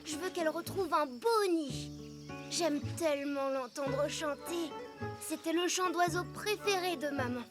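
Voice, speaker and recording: An actor playing an earnest, gentle young animal child in a French children's cartoon. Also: high voice, measured pace. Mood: tender. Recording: loud music behind the voice.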